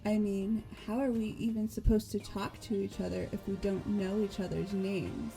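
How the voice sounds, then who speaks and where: A woman's voice: medium pitch, little pitch movement, moderate pace, gravelly, warm tone, poor audio. A woman reading out character dialogue as part of a game playthrough with friends, music behind her.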